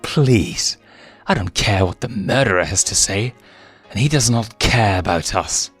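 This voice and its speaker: A man's voice: on the low side, animated, unhurried, fairly bright tone, slightly rough, full character voice. Guy doing a sinister young lioness, fake polite but pushy and scornful, storybook style, music behind. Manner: insistent, scornful, commanding.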